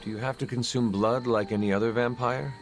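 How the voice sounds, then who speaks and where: An actor playing a stoic male supernatural warrior in a video game, with formal, dry, measured speech. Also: mid-range voice, fairly even intonation, faint music behind, lightly acted. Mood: polite, dry. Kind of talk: video game dialogue acting.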